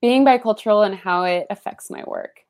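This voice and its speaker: A young woman raised in the Bay Area, with Spanish as her first language, chatting as a guest in a relaxed interview. She rambles warmly through memories, peppering her speech with "like" and "um". Her pitch sits in a middling range. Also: brisk. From San Francisco Bay Area, US. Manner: chatty, full of "like" and "um", animated when recalling. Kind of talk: casual conversational interview.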